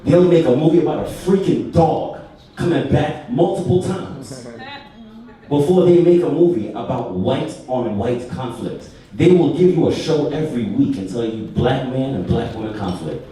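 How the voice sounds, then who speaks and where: A man's voice: medium pitch, animated, normal speed, warm tone. A man from New York, a panelist making an emphatic, lecturing argument at a live taped discussion. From New York, USA. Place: large echoey art venue, live taping. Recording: very roomy, distant live recording.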